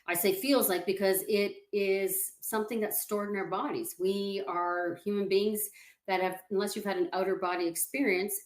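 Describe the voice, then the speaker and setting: A woman confidence coach, speaking as an interview guest over a video call and calmly walking the listener through exercises. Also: mid-range voice, echoey room. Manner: calm, explanatory, walks the listener through exercises. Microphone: video call setup.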